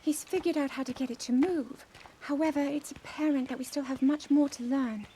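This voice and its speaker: An actress playing an earnest young princess, speaking in a formal, measured way. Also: female voice, high voice, steady tone, mellow, slight background noise, natural voice. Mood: thoughtful. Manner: formal, measured ceremonial speech. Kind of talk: video game cutscene acting.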